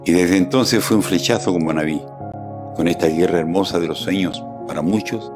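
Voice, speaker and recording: Deep-voiced older Chilean man. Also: normal speed. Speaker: testimonial narrator. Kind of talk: scripted voice-over narration. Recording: voice-over with clear background music.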